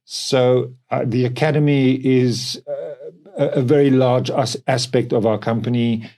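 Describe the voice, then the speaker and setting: A man's voice: medium pitch, steady tone, a little slow, mellow. A man from South Africa speaking conversationally as a company co-founder, explaining things expressively. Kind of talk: conversational interview.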